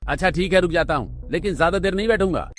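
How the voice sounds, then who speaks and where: A man's voice: high voice, expressive, fast talker, voice pushed up. A man voicing a rude, impatient, self-important young businessman brother in a cartoon story, curt and dismissive. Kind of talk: Hindi cartoon story dialogue.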